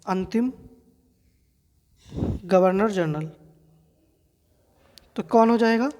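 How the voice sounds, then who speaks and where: A woman's voice: mid-range voice, darkish tone. A woman with an Indian accent teaching an exam quiz lesson, didactically repeating key facts and posing questions to her students. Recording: long reverberant hall-like echo.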